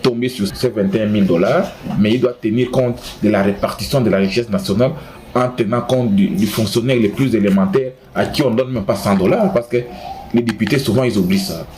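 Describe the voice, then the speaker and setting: A man interviewing a guest, quickly chiming in to finish the guest's sentences. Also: fairly low pitch, a little mellow.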